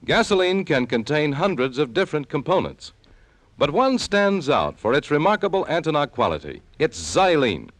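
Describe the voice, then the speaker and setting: A dry-sounding American male announcer reads an old-time radio advertisement. His voice is a bit high and very lively, with a polished, emphatic selling style. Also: normal speed, dry recording. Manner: polished, emphatic sales delivery. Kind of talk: vintage radio advertising read.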